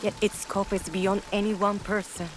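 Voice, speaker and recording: An actress playing a calm, devout female shrine priestess in a game cutscene, measured and solemn. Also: medium pitch, a little hiss or hum, close to an everyday voice. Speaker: game character. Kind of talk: video game cutscene acting. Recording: game audio with music.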